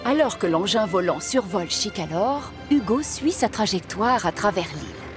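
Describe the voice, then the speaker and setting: Lively woman narrating a children's story. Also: mid-range voice, normal speed. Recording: music behind.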